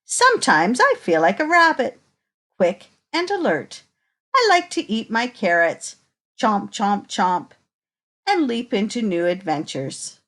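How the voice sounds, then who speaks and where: Lady doing a kids read aloud, takes her time, very up and down expressive voice, asks the kids questions. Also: on the high side, slow. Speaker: teacher reading a picture book aloud to children.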